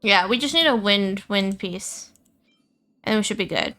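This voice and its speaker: A woman's voice: medium pitch, lively intonation, a little slow, bright, forceful tone. A woman hosting a gaming livestream, thinking aloud casually and chatting informally with her viewers, often repeating herself. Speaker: livestream host. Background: music.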